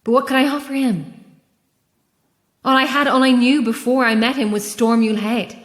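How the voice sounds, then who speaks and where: Actress as lonely, haunted lighthouse keeper woman for horror radio play; raw, halting delivery, long reverb. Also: on the high side, steady tone, natural voice. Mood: haunted confession. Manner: halting, confessional, emotionally raw. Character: anguished, guilt-ridden lonely woman lighthouse keeper, increasingly frantic. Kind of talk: immersive horror audio drama acting.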